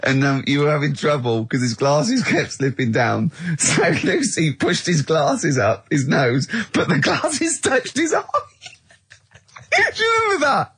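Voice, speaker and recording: A male radio co-host whose husky voice leaps all over in pitch. He is excitable and giggly, bantering casually and comically. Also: a little slow.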